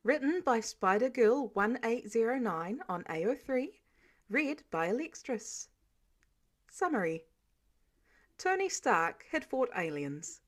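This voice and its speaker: Lively, high-voiced woman reading a story. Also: slow. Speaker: audiobook reader of fan fiction. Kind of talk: expressive scripted narration.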